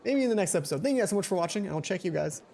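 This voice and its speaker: A man's voice: sits high, lively intonation, racing, vocal fry. A young man hosting a let's play, reading a visual novel aloud and adding casual commentary. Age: young adult. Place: small indoor home room. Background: game music.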